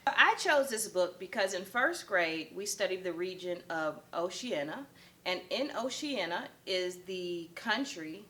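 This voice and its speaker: A woman's voice: medium pitch, pushed and bright. A woman, a first grade teacher, reading a picture book aloud expressively for children.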